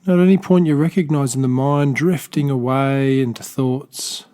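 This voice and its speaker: A man with an Australian accent gently guiding a body scan meditation, speaking softly and slowly with pauses and small restarts. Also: mid-range voice, fairly even intonation, clean tone. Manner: slow, soft-spoken, gentle guidance with pauses. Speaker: meditation teacher.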